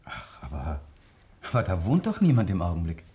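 Radio drama clergyman, male: earnest, courteous, animated melody. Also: deep voice, moderate pace. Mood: puzzled. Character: earnest, thoughtful village vicar, male.

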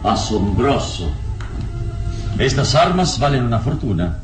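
An actor playing a serene, proud heroic adventurer in a radio drama, measured, dignified and theatrical. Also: male voice, mid-range voice, big pitch swings, over music. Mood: admiring.

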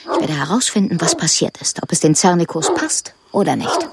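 An actress playing a determined female lawyer in a radio drama, combative and brisk, with a creaky voice. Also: lowish voice, big pitch swings. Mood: defiant, resolute. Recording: loud noise behind the voice.

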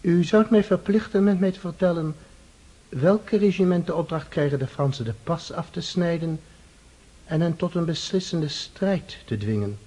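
An actor playing a stern, reproachful tsar who talks imperiously and coldly in a radio play. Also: male voice, fairly high pitch, normal speed, warm tone, husky, low-quality recording.

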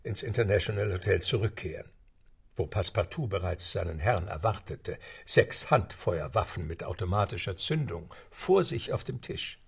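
A man narrating a radio play with measured storytelling. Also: low-pitched, expressive, gravelly, slightly rough. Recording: music beneath narration.

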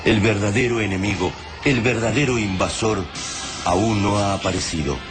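A low-pitched male narrator delivers scripted radio drama. His manner is grave and portentous, and music plays clearly behind him. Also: moderate pace.

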